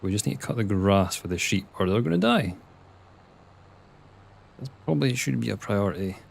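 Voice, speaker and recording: A young Scottish man with a low, gravelly voice talks casually through a live gameplay stream. He answers viewers' messages in a chatty way and mutters quiet asides at the game. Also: animated, normal speed. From Scotland. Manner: chatty, reads chat aloud and mutters at the game.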